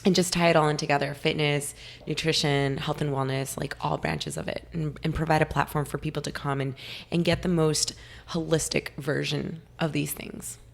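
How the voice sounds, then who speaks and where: A young woman from California, slightly hoarse, talking eagerly as a podcast guest. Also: deep voice, fairly even intonation, normal speed. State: a little hoarse. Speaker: guest nutritionist. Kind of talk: casual interview talk.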